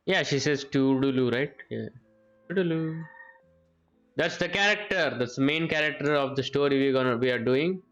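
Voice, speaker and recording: A man's voice: mid-range voice, moderate pace, fairly bright tone, muffled recording. A man doing casual live game commentary as a streamer, laid-back, with short muttered reactions. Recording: game music behind.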